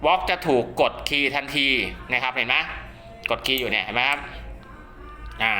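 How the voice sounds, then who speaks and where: Bright-voiced man giving a casual tutorial. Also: mid-range voice, normal speed, soft background music. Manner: repetitive checking with listeners. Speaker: radio hobbyist presenter. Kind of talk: casual spoken tutorial demonstration.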